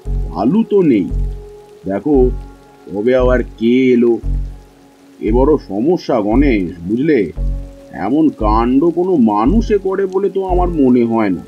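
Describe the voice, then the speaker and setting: A man voicing a grave, concerned village headman in a horror cartoon, deliberate and avuncular, checking he is understood. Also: medium pitch, big pitch swings, muffled recording, soft background music. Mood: cautionary. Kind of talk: Bengali horror cartoon dialogue.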